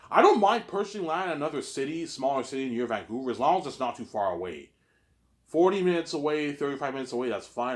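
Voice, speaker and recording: A man with a slight Canadian accent talking in a rambling casual monologue, often restarting and tagging on "obviously". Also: fairly low pitch. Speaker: vlogger.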